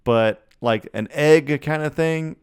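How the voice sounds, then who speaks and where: A man's voice: a little high, takes it slowly, smooth. A man co-hosting a podcast, bantering casually in slangy, opinionated talk with some swearing. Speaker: podcast co-host.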